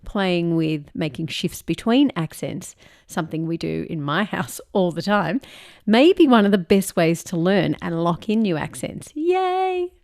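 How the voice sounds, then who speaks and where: A woman's voice: on the low side, animated, a little slow, darkish tone. An Australian woman podcast host reading an upbeat scripted episode introduction.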